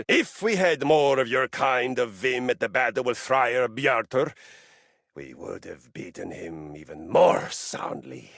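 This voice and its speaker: An actor playing a boastful, violence-loving treadmill in a video game, with a pompous, theatrical delivery. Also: male voice, medium pitch, gravelly, fairly bright tone, slightly rough, no room sound, studio quality, exaggerated voice. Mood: admiring, condescending. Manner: pompous, grandiloquent, bombastic. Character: boastful Norwegian treadmill, honor-bound violence-loving warrior. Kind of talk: theatrical game character acting.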